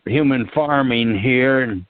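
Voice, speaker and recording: A man calling in to a discussion, musing casually in hesitant, drawn-out phrases. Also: mid-range voice, clean tone, no echo. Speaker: call-in participant. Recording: dry, tinny phone line.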